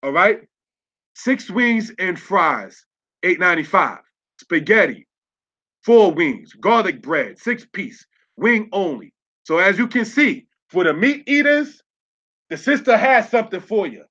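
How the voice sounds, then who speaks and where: Man, religious teacher, slide lesson, spells words out, frequent "all right?", high voice, dull lo-fi sound. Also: big pitch swings, measured pace, pushed and bright. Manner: spells words out letter by letter, repeated "all right?" check-ins. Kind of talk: slide-based online lesson. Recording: dull lo-fi audio.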